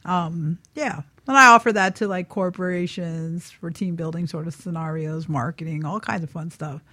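A woman's voice: on the low side, moderate pace, pushed and bright, dry recording. A woman chatting casually and informally as a chef guest on a talk show. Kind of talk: casual interview chat.

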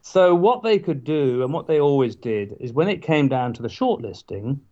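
A man, a guest in a casual interview, tells anecdotes with halting restarts and wry asides. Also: mid-range voice, big pitch swings, warm tone. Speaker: guest, former parliamentary candidate. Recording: dull lo-fi sound.

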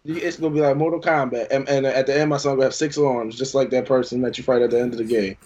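A man's voice: mid-range voice, steady tone, quick pace, a little mellow, highs cut off. A man co-hosting a podcast, teasing the others in casual banter with mock-formal questions. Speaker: podcast co-host.

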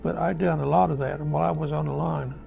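Actor as a very gravelly old American war veteran. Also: male voice, fairly high pitch, steady tone, a little mellow. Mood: remorseful. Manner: halting, plainspoken, folksy reminiscing. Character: old American WW2 veteran, remorseful, haunted by killing. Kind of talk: radio drama monologue, recounting war memories. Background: music behind the voice.

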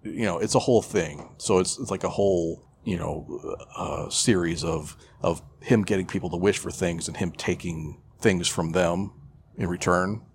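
A man's voice: sits low, moderate pace, creaky, slight background noise. A man chatting casually as a podcast co-host, retelling a story in a profane, irreverent, rambling way. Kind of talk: casual chat, retelling a film plot.

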